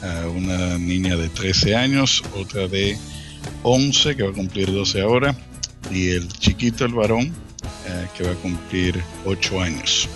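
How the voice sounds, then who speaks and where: A man in his late thirties with a Dominican accent, telling his own story casually as an interview guest, often pausing and repeating words. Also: deep voice, over music. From Dominican Republic. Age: late 30s. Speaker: interview guest, church ministry worker.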